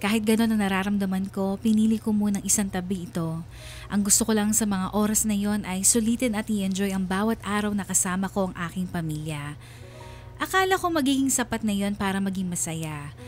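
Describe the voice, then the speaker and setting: A woman's voice: medium pitch, fairly quick, darkish tone, faint music behind, natural voice. An actress playing a homesick wife who narrates her story with sorrow in a radio drama. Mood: troubled, sad. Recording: faint background noise.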